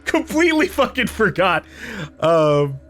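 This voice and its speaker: A man giving casual, off-the-cuff commentary on a video game in a rambling, halting way, often circling back on himself. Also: high voice, very animated, a bit bright. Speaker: gaming video host. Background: music behind the voice.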